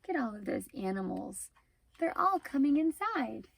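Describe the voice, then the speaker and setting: A woman's voice: on the high side, big pitch swings, moderate pace, vocal fry, slightly rough. A woman reading a picture book aloud to young children, expressively, with instructive asides to the listeners. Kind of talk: animated children's read-aloud with explanatory asides.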